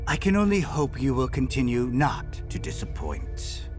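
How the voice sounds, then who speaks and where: A man's voice: mid-range voice, wildly swooping pitch, normal speed, over music. An actor playing a gleeful, creepy mad scientist in a video game, pompously savouring his words. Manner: pompous, savouring each word. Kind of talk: video game cutscene acting.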